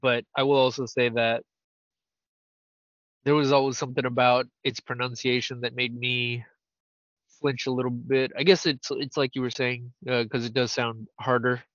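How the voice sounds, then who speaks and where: A man's voice: mid-range voice, level tone, normal speed, a bit bright, no echo. Male podcast host, casual rambler who laughs easily, dry close sound, slightly dull audio. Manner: rambling, laughs often, teasing.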